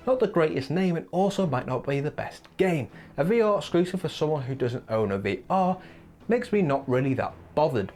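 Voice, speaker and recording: A man talks through a countdown of upcoming games in a loose, opinionated way, drifting into asides. His pitch sits fairly high and bounces around a lot, and his voice sounds a little worn. Faint music plays behind him. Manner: opinionated, rambling, lively asides. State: voice wearing thin. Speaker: gaming video host. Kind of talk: casual countdown commentary.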